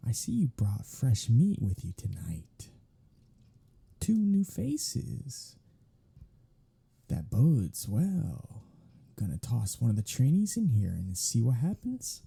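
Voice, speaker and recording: A man playing a captive vampire in an audio roleplay, snarky, taunting and flirtatious, drawling sarcastic lines in a husky, gravelly voice. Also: mid-range voice, warm tone, full character voice. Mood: teasing, flirtatious. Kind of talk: scripted audio roleplay monologue.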